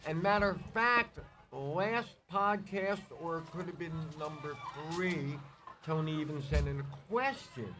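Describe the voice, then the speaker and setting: A man's voice: on the high side, unhurried. A man hosting a casual, unscripted podcast, chatting and calling guests in to ask them questions. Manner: chatty, interviews guests, calls out to people. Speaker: podcast host. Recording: outdoor poolside podcast.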